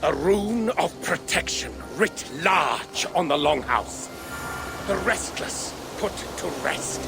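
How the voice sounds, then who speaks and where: Creaky, cryptic mad monk. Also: male voice, high-pitched, animated, music in the background, full character voice. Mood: ominous satisfaction. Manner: slow, portentous, riddling. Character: cryptic, half-mad male monk, portentous and sly. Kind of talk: dramatic video game acting.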